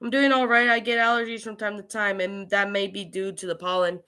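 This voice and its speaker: A woman with a cold, chatting casually as a livestream host and answering viewers in a halting way. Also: mid-range voice, level tone, pushed and bright, clear, resonant tone. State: getting over a cold with allergies. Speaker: livestream host and creepypasta narrator.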